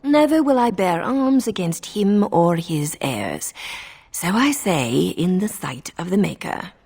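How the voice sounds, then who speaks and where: A woman playing a haughty, calculating noblewoman in a fantasy game, husky and creaky, with a cold, formal manner. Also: fairly low pitch, sing-song, slow, darkish tone, exaggerated voice. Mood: solemn. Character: haughty, calculating noblewoman who rules a city. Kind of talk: scripted fantasy game dialogue.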